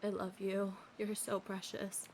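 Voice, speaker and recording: A woman's voice: mid-range voice, monotone, unhurried, darkish tone, slight background noise. A woman streaming a game, chatting casually with her viewers. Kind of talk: casual livestream chat. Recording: game music behind the voice.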